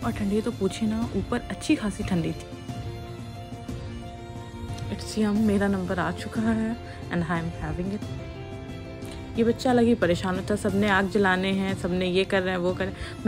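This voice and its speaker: A woman's voice: mid-range voice, normal speed. A woman in her thirties narrating her family's camping trip as a vlog voice-over, chatty and mixing in English phrases. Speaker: vlogger. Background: faint music under the narration.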